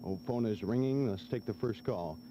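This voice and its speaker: A man's voice: fairly low pitch, normal speed, dull audio. An American man working as a radio DJ, chatting casually through phone-in contest patter. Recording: noisy 1972 AM radio aircheck.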